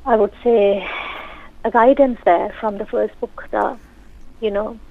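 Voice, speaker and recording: A woman whose first language is Urdu talks as a radio guest in a reflective, halting way. Her voice has a gravelly fry. The sound is thin and tinny, like a phone call into the studio. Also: medium pitch, steady tone. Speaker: guest author and counsellor.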